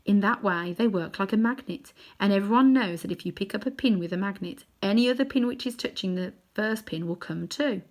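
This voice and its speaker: Lady reading a kids' novel out loud, medium pitch, chatty little asides between bits. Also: brisk, hardly any pauses. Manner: gentle asides to listeners. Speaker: storybook reader. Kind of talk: reading a children's novel aloud.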